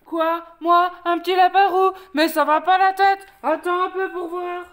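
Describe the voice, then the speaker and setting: A woman voicing an enormous, indignant male brown bear in a children's storybook, loud and blustering. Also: very high-pitched, level tone, unhurried, faint music behind, pitched above the performer's own voice. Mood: outraged.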